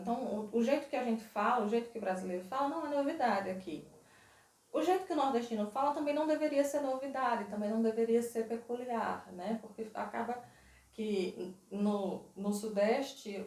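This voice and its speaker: A woman with a Northeastern Brazilian accent talks through her answers in an interview, thoughtful and measured, and she leans hard on key points. Her voice sits at a middle pitch. It is recorded in a roomy space, a little away from the mic. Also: mellow, far from the mic. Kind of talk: interview conversation. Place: Portugal.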